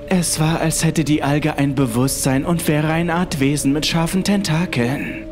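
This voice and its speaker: Higher-pitched man narrating horror over music. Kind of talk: scripted first-person horror narration. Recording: music behind the voice. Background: background music.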